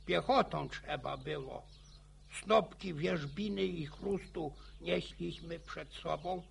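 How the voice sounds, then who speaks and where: An actor playing an old village grandfather in a radio play, spinning boastful war yarns with a gravelly, stammering, chuckling voice. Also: on the high side, slow pace, a little hiss or hum, full character voice. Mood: boastful reminiscing. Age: older. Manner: chuckling folksy yarn-spinning. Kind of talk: radio drama acting.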